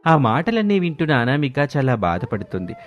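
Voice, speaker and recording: A man's voice: medium pitch, big pitch swings, fairly quick, dull audio. A man narrating a scripted family story as its storyteller. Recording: music behind the voice.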